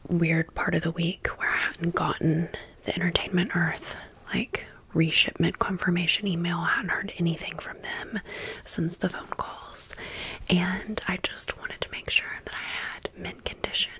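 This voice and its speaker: A woman whispering in a breathy, unvoiced voice, chatting informally to viewers as friends in a casual ASMR storytime. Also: lowish voice, a bit bright. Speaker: ASMR video creator and host.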